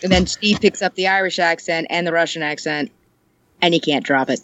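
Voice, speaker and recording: A woman's voice: fairly low pitch, brisk, fairly bright tone, no echo, dull audio. A woman chatting casually on a podcast, rambling and joking, laughing often. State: ill. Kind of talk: casual chat.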